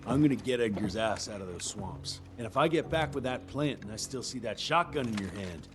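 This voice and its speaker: An actor voicing a hot-headed male monster hunter who talks in a gruff, cocky, blunt way. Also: fairly low pitch, animated, fairly quick, over music, natural voice. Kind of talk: video game cutscene acting.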